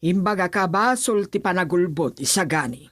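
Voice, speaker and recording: An actress playing a stern, demanding middle-aged aunt in a radio drama. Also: female voice, fairly low pitch, expressive, normal speed, dry recording, clean studio sound.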